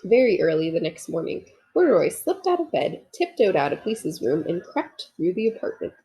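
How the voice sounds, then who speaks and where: A woman's voice: fairly low pitch, sing-song, brisk, noisy background. A woman reading a children's story aloud expressively, talking to young listeners as she goes. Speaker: storybook reader. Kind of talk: children's read-aloud narration with asides.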